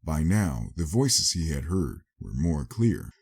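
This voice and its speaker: A man's voice: rumbling low, sing-song, slow pace, gravelly, darkish tone, husky. A man giving a dramatic scripted narration of a story.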